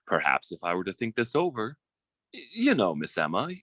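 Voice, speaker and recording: A theatrical con-man suitor played by a man, recorded close and dry. His pitch swoops widely as he schemes and bullies, and he is a coward underneath, prone to stammering when flustered, in old-time radio style. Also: huge pitch swings, fairly quick, fairly bright tone, no room sound, exaggerated voice. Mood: oily flattery.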